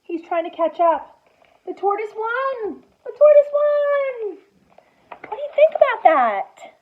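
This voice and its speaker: A woman with a high voice reads a picture book aloud as a teacher would to small children. She takes her time, adding expressive comments and simple questions for the listeners. The recording sounds muffled and thin. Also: slow pace. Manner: expressive, animated storytelling with gasps and exclamations; child-directed, asking prompting questions.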